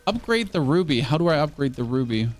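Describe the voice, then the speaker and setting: Excitable German man streaming a game. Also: on the high side, quick pace, a little hiss or hum. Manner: chatty, excitable, self-correcting. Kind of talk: casual gameplay commentary.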